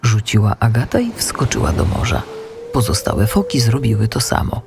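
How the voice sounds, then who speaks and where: A man narrating a scripted children's audiobook with expressive storytelling. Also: lowish voice, normal speed, creaky, music in the background.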